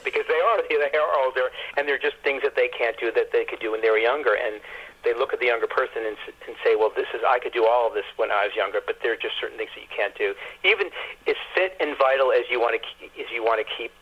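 A middle-aged or older man, a novelist being interviewed, speaking reflectively and haltingly, repeating words as he searches for phrasing. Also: mid-range voice. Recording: muffled, husky-sounding telephone-like radio line.

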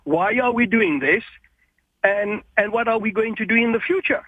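High husky man on a phone line. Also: moderate pace, no echo. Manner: animated, insistent, repeats points. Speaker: caller.

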